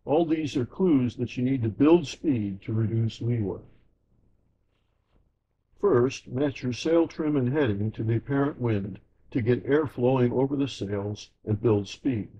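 A man giving a scripted sailing lesson as slideshow narration, in an even, measured explanatory way. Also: medium pitch, lo-fi sound, slight background noise. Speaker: sailing instructor.

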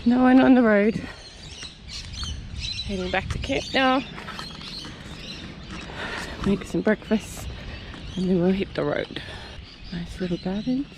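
A woman's voice: medium pitch, slow pace, long pauses. A woman in her forties with an Australian accent, chatting casually to a handheld camera outdoors and gushing over the scenery. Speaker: travel vlogger. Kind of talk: casual vlog narration. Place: outdoors on a beach and in a car. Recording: outdoor noise behind the voice.

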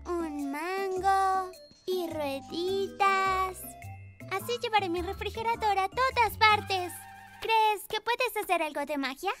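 A woman's voice: extremely high pitch, extremely slow, full character voice. A woman voicing a sweet, curious preschool girl in a children's cartoon, childlike and full of exclamations. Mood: eager. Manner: childlike, wide-eyed, exclaiming. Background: music.

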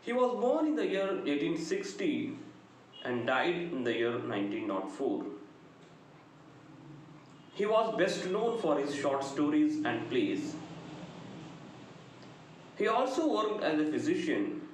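A middle-aged man with a South Indian accent gives a prepared literature lecture in deliberate, measured short phrases. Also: a little high, big pitch swings. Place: indoor room, very reverberant. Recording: very echoey room, noticeable background noise.

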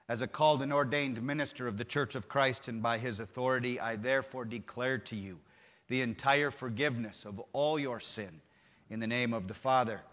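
A middle-aged man, a pastor, leading the liturgy in a measured, solemn way at a lectern microphone in a church sanctuary. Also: fairly low pitch, fairly bright tone. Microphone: lectern mic. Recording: long church reverb.

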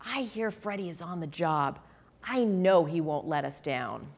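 A proud storybook frog mayor, as a woman reader puts him on. He is hearty and given to grand proclamations. The delivery rises and falls in an animated way. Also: vocal fry, heavily acted. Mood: proud, confiding. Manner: booming, drawn-out proclamations.

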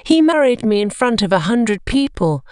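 A woman's voice: fairly high pitch, very animated, moderate pace, a little mellow, clean tone, no echo, studio quality. A woman voicing an anxious young wife in a story, earnest, questioning and pleading. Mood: defiant.

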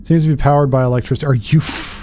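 A man's voice: mid-range voice, steady tone, racing, a little mellow, faint music behind. A man in his thirties giving casual let's play commentary, thinking aloud in a jittery, self-deprecating way. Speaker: gaming video host.

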